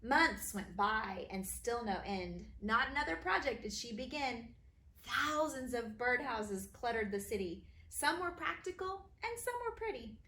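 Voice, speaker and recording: A woman teacher reading children's stories aloud in an expressive, sing-song way for young listeners. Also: fairly high pitch, measured pace. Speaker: kindergarten teacher reading her own stories. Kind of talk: animated children's storybook reading. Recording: roomy echo, faint background noise.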